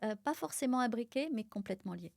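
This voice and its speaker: A woman's voice: fairly high pitch, fast, creaky, dry recording. Woman from auvergne, middle-aged, pharmacist guest, explanatory, filler-heavy, dry studio. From France (Auvergne). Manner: explanatory, lots of fillers, animated. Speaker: guest, pharmacist and micronutrition expert. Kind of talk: casual podcast conversation.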